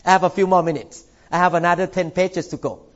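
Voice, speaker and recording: A man's voice: sits high, fairly even intonation, highs cut off. An older man from Thailand preaching a sermon in a church, stretching out key words for emphasis. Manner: emphatic, drawn-out stresses. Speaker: pastor.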